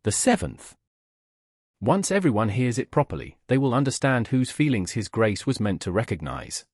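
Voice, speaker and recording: A man's voice: medium pitch, very animated, moderate pace, darkish tone, slightly rough, no echo, studio quality. Man voicing a smug, spiteful society lady. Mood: smug malice.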